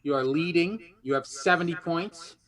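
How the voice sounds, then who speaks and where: A man with a high, bright voice hosts a quiz show. He reads questions to the players and trades playful, teasing banter with them. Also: moderate pace. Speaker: game show host.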